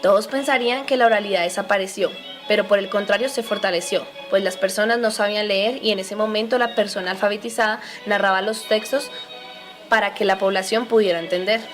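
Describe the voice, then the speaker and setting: Storytelling narrator played by a woman, medium pitch, scripted and informative, educational radio drama with clear music. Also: steady tone, fairly quick, close to an everyday voice. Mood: explanatory. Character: explanatory female narrator who joins the story.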